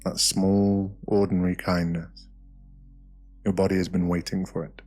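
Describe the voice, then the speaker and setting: A British man narrating an ASMR guided meditation in a slow, hushed, soothing way, with short phrases and pauses. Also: sits low, level tone, faint music behind.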